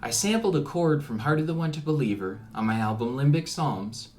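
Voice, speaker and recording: A man giving a reflective, measured scripted narration, as a musician talking about his own work. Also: on the high side, lively intonation, a little mellow. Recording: ambient music behind the voice. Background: ambient background music.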